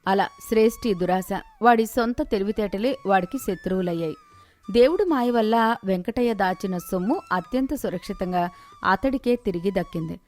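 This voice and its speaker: A woman narrator telling a scripted moral story in an expressive storytelling manner. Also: medium pitch, moderate pace, soft background music.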